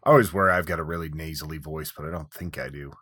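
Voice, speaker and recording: A man co-hosting a casual podcast, relaxed, with short replies and easy laughter. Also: rumbling low, rapid-fire, no echo. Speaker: podcast co-host. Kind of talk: casual chat.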